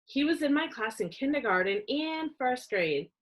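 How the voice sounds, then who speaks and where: Whiny second-grade boy, read by a woman, stubborn and petulant, with animated swings in pitch for a children's storybook. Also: normal speed. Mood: sulky. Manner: petulant, complaining.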